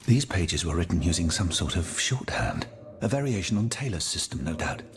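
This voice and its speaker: An actor playing a learned, courtly older gentleman astronomer in a video game, genteel and thoughtful. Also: low voice, lively intonation, normal speed. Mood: genteel, pondering. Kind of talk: video game dialogue acting.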